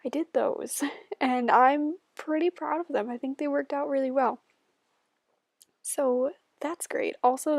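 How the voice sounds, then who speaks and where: A woman's voice: sits high, a little mellow, dry recording, clean studio sound. A young woman in her twenties, a bit stuffy-nosed, chatting casually and giggling as she talks over her building video at home. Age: 20s. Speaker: YouTuber.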